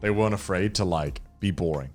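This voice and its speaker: Male podcast co-host, deep voice, blunt and skeptical, rattles along in casual talk. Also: brisk, soft background music. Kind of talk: casual chat.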